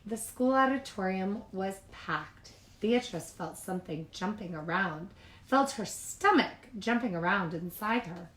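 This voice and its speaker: Female read-aloud narrator for a children's picture book, expressive delivery, mid-pitched, recorded in an echoey room. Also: measured pace. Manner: expressive, acts out dialogue and exclamations. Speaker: childcare worker reading aloud.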